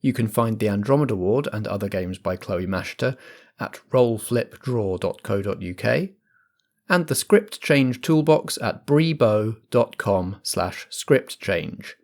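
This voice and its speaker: A man hosting a role-play podcast as compere, with a measured, theatrical scripted delivery. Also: lowish voice, expressive, a little mellow. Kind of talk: scripted narration and introduction.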